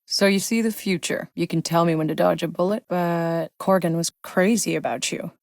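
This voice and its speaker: A woman performs a battle-worn female soldier from a video game. Her voice sits low for a woman, and she talks bluntly with dry, sardonic wit. She comes across as tough and hardened. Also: moderate pace, a little mellow, no echo, natural voice. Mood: serious, probing. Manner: blunt, wry, swearing. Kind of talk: video game character voice lines.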